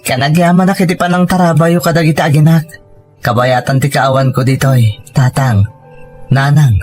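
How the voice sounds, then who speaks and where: A man's voice: fairly high pitch, steady tone, moderate pace, darkish tone, faint music behind, lightly acted. An actor with an Ilocano accent playing a hardworking, tender, worried family man in a radio drama. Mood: grateful.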